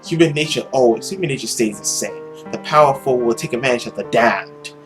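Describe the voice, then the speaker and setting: A man's voice: mid-range voice, brisk, soft background music, natural voice. A man playing a world-weary immortal demon who poses as a nobleman, musing theatrically through his journal in a visual novel. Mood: bitter musing. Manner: brooding, musing, theatrical diary reading.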